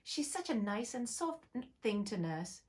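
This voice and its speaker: Curious, well-mannered young girl, earnest and chatty, lively up-and-down delivery, read by a woman. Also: fairly high pitch, unhurried. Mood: apologetic.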